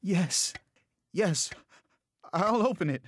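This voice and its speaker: An aging actress of the stage, played by a woman in an audio drama, slow and breathless, her pitch swinging widely as she yearns and then takes fright. The delivery is theatrical, lingering on each word. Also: expressive, no room sound. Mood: eager. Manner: slow, breathless, rising to alarm. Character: aging stage actress seeking eternal life.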